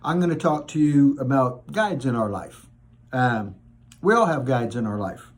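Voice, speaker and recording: A man's voice: mid-range voice, expressive, slow pace. A middle-aged man talking to teenagers in a friendly, conversational way about the mentors in his life. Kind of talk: informal talk to teenagers.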